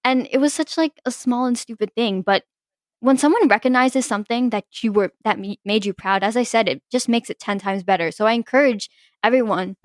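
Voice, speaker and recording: A woman's voice: on the high side, brisk, no echo, studio quality. A teenage woman co-hosting a podcast, chatting casually with friends and telling a story full of "like" fillers. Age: teens. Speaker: podcast co-host. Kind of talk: casual chat among friends.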